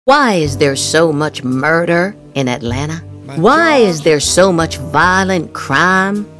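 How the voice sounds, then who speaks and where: A woman's voice: deep voice, lively intonation, takes it slowly, fairly bright tone, faint music behind. A woman podcast host reading political social media posts aloud dramatically, with theatrical, exaggerated inflection.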